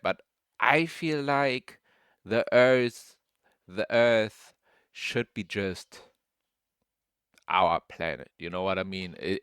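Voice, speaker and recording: A young man from Germany rambles unhurriedly in a relaxed, slangy way. His voice sits a little low and comes across smooth and clear. The sound is dry and close, with no room around it. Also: dry recording. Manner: slangy, loose, says bro often. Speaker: vlogger. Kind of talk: casual rambling commentary.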